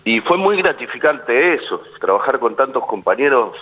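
A man's voice: mid-range voice, very animated, moderate pace, sharp tone, low-quality recording. A man with an Argentine accent talking casually as an actor being interviewed, often repeating words as he forms his thoughts. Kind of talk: casual radio interview talk.

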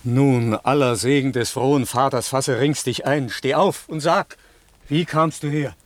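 A man's voice: medium pitch, steady tone, a little slow, no echo, close to an everyday voice. A man playing a noble older king and father, full of wonder, declaiming verse solemnly in a classic radio play. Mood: moved, solemn.

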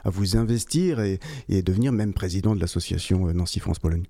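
A male radio host with a lowish, warm voice asks brief guiding questions. The sound is close and dry, with no room echo. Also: a little fast. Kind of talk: radio interview.

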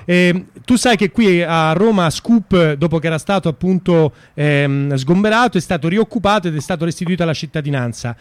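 A man hosting a live unscripted radio interview, halting as he talks and often repeating words. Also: a little high, clean tone, dry recording.

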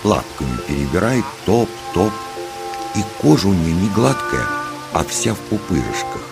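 A man narrating a scripted children's nature tale as an expressive storyteller. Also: deep voice, moderate pace, vocal fry, music in the background.

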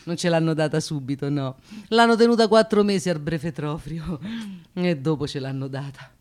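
An actress playing a brash, voluble working-class aunt with a Roman accent in an Italian radio drama, talking colloquially with dramatic exclamations. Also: female voice, low-pitched, moderate pace, a little mellow, natural voice. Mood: animated storytelling.

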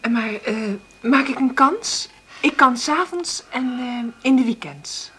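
A woman playing a middle-aged, hard-up mother in a naturalistic radio drama, understated and hesitant, with a husky, breathy voice. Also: high voice, a little slow, a bit bright, full character voice. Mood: hesitant, pleading. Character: hard-up, dreamy single mother, gentle but stubbornly hopeful.

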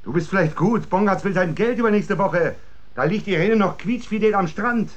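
A man's voice: high voice, moderate pace, lo-fi sound, natural voice. An actor playing an irritable, pressing husband who schemes against his wife, in a naturalistic German radio drama. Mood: frustrated, tense.

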